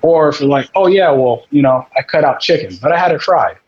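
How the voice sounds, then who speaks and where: A man's voice: fairly high pitch, brisk, highs cut off. A man in casual podcast chat, speaking bluntly with some swearing and acting out the people he quotes.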